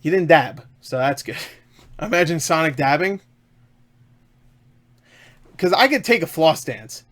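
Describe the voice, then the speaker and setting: A man's voice: on the high side, expressive, a little slow, long pauses, fairly bright tone. A man giving a casual, unscripted film review in an enthusiastic, emphatic, rambling way, often repeating himself.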